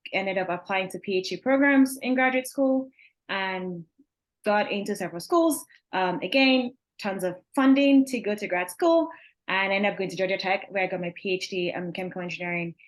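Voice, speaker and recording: A woman's voice: mid-range voice, normal speed. A woman in her thirties from Nigeria, sharing her path as a webinar co-presenter in loose, self-correcting conversational phrasing. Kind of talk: personal story in a webinar.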